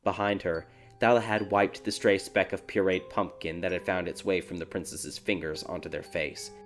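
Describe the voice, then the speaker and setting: A man narrating a dark fairy tale audiobook in a dramatic, scripted storytelling style. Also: low-pitched, steady tone, moderate pace. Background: background music.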